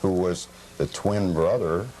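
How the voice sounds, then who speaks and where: A man interviewed as a collector, explaining things informally in a halting, factual way on old TV tape. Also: sits low, fairly even intonation, warm tone, a little hiss or hum, rough recording.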